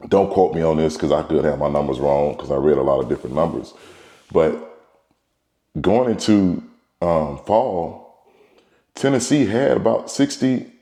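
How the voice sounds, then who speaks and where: Congested man with a very deep voice. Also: normal speed, dark tone, reverberant. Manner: rambling, repeats words, restarts often. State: congested from a cold. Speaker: baseball trainer and podcast host. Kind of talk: casual monologue.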